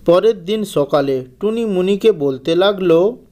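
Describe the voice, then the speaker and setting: A man's voice: high-pitched, lively intonation, unhurried, background noise. A man reading scripted narration for a children's cartoon story.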